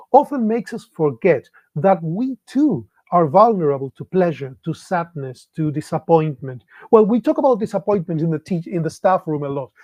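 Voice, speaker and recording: A man's voice: a little high, darkish tone, no echo, muffled recording. A middle-aged man from Argentina, a Spanish speaker, giving an online talk to English teachers in a reflective way, posing many rhetorical questions.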